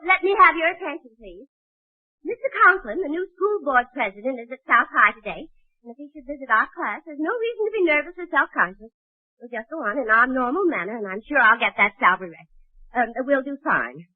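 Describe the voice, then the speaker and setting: An actress playing a wry, sarcastic schoolteacher in a 1940s radio comedy. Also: female voice, high voice, sing-song, fairly quick. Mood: brisk, reassuring. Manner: dry sarcastic wisecracking. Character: wry, sardonic female high school English-type teacher. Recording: muffled old 1940s radio recording.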